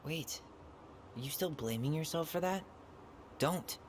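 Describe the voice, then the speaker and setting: An actor playing a supportive, earnest young adult brother with an American accent in a naturalistic video game cutscene. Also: male voice, a little high, expressive, measured pace, slight background noise. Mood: earnest, reassuring.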